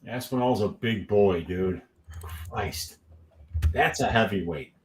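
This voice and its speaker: A man's voice: fairly low pitch, takes it slowly. Laid-back man chatting fight talk. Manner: laid-back, conversational, chuckling. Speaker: podcast co-host. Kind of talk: casual sports watch-along chat.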